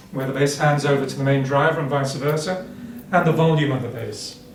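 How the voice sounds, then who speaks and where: A man's voice: on the high side, slight background noise. A man giving an informal, detailed product demonstration as an exhibitor, explaining technical points. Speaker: exhibitor presenting hi-fi equipment. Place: indoor hi-fi show demonstration room. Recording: roomy show demo room with music playing. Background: music playing.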